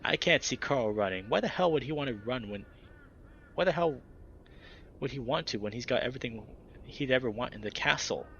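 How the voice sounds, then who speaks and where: A man's voice: mid-range voice, expressive, brisk, a bit bright. A stout young fennec stoner who drawls crude, easygoing lines, as a man puts him on. The recording is a bit dull with a faint hiss behind it. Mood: laid-back. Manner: laid-back stoner drawl, swearing.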